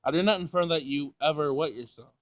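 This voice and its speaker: A man's voice: fairly high pitch, fast, no room sound, lightly acted. Visual novel android, read by a man: female machine, literal-minded, formal and emotionless in delivery. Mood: clinical, blunt. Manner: pedantic, clinical, formal wording.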